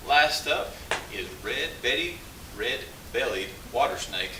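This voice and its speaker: A man's voice: medium pitch, animated, slow pace. A man working as a puppeteer, informally presenting and explaining to an audience. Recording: roomy, distant mic with hiss and noise.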